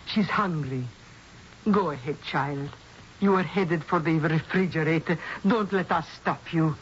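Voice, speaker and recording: An actress playing a self-assured, mysterious spirit medium in a radio mystery drama, with grand, measured, hushing phrasing. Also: female voice, low-pitched. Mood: commanding, serene. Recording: dull, hissy old radio broadcast.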